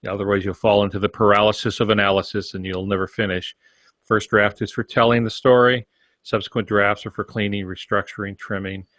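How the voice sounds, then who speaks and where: A man's voice: on the low side, flat delivery, fairly quick, fairly bright tone, no echo. An older man co-hosting a podcast, running through practical tips in a conversational, instructive way. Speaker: podcast co-host. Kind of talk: conversational practical advice from notes.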